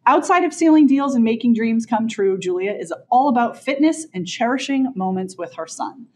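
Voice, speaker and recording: Host: woman with a slightly husky tone, enthusiastic, video call sound. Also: a little high, lively intonation, normal speed, darkish tone. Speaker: podcast host. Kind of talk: casual interview chat and scripted intro. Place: office with desks.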